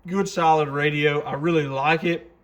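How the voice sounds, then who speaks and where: A man's voice: on the high side, fairly even intonation, normal speed. A man giving a casual, unscripted product walkthrough in an informal, explanatory way as host, recorded in a shop. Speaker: radio shop host demonstrating a CB radio. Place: radio shop. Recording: clear background noise with radio clicks.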